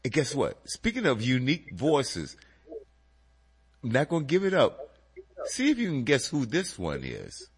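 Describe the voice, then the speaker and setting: Congested, animated male radio host. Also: medium pitch, a little slow, pauses often, slightly rough. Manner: animated, addresses listeners as folks. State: congested, a little nasal. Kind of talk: casual music radio chat.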